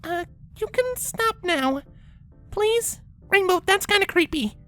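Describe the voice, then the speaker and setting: Woman acting a high-pitched, bookish pony princess. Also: animated, takes it slowly, a bit bright, soft background music, exaggerated voice. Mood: uneasy, concerned. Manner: precise, lecturing, teasing. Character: prim, bookish young female pony princess, patient but exasperated. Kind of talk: character acting in a fan fiction audio reading.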